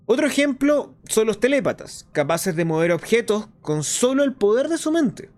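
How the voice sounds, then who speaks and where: Man playing a higher-pitched clandestine radio host over music. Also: big pitch swings, moderate pace, a little mellow. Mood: explanatory, intrigued. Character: clandestine radio host of a dystopian resistance show, earnest and explanatory. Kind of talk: scripted radio drama monologue. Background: music behind the voice.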